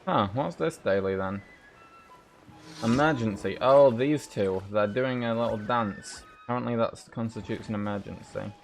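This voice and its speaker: Guy with a lowish voice streaming a game, chatty and sweary, game music under him. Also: normal speed, vocal fry. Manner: swears casually. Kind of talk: casual gameplay commentary.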